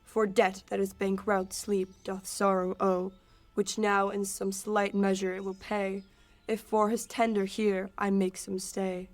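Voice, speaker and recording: A lovesick Athenian youth acted by a female in her late teens in an amateur Shakespeare radio drama. The verse is earnest, at an average pitch. Faint music sits behind the voice. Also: little pitch movement, a little slow, natural voice. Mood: weary. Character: lovesick, rebuffed young Athenian man. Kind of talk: amateur 1940s-style radio play Shakespeare.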